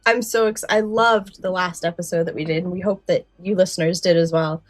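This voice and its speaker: Lady cohosting a podcast, lowish voice, gushy and emphatic, dry sound w faint music. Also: steady tone, brisk, dry recording. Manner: emphatic, repeats words for stress. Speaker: podcast co-host. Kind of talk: casual enthusiastic chat.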